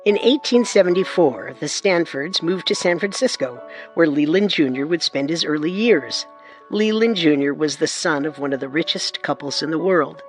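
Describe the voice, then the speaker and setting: A woman co-hosting a historical radio drama, giving measured, scripted documentary narration. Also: low voice, animated, soft background music. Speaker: narrator and co-host.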